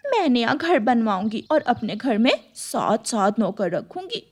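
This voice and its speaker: A woman's voice: a little high, sing-song, a little slow, a little mellow. A woman voicing a greedy, fawning female crow, the wife in a cartoon story.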